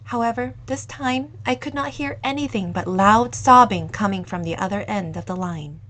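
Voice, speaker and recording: A woman reading a short story aloud in a measured, gentle storytelling manner. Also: medium pitch, rough recording. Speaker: reader. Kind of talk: scripted narration of a short story.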